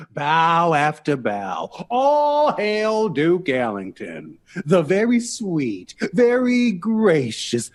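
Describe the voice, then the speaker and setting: An actor playing a proud, wounded male lover and performing artist in a period audio drama. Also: a little high. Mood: bitter.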